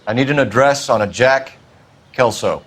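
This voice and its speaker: An actor playing a terse, formal 1940s American police detective, questioning people in a dramatic video game style. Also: male voice, mid-range voice, normal speed, a bit bright, slight background noise, lightly acted. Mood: stern. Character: stern, clipped male police detective, 1940s Los Angeles.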